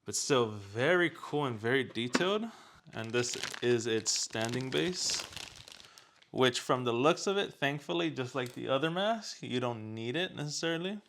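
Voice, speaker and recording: A man's voice: medium pitch, lively intonation, moderate pace, a bit bright, slight background noise. A man chatting casually as he hosts an unboxing and product review.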